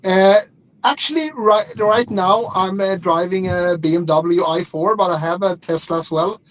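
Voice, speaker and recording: A man's voice: high-pitched, normal speed, fairly bright tone. A man from Sweden, calling in while driving, blunt and hesitant with many uh's. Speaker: caller. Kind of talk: casual chat. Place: driving a car. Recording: dull lo-fi call audio.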